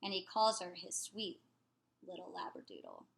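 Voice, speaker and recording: A woman reading a children's picture book aloud in an expressive, playful way. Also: fairly low pitch, normal speed, vocal fry, a little hiss or hum.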